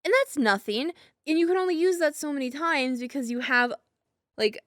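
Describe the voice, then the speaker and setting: A woman's voice: high-pitched, animated, moderate pace, fairly bright tone, dry recording, clean studio sound. A young woman in an intimate roleplay monologue, rambling casually as a girlfriend in a giggly, hesitant, self-deprecating way. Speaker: audio roleplay performer as girlfriend.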